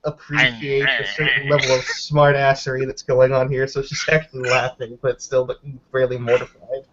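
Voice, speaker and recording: A man casually improvising narration as a player in a tabletop roleplay, descriptive and halting, on a dull, slightly noisy mic. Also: mid-range voice, little pitch movement, over a noisy crowd. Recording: dull lo-fi mic with background noise.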